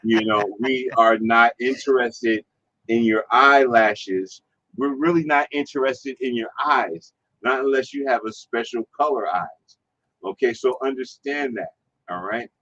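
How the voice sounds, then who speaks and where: A man's voice: mid-range voice, unhurried, bright, forceful tone, no echo, muffled recording. A man hosting a casual chat, laid-back and fond of repeating his agreement. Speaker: host.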